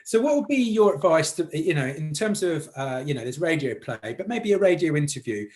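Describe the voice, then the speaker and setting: A man's voice: a little high, sing-song, fairly quick, a little mellow. A man interviewing casually, rambling and repeating words as he builds his point.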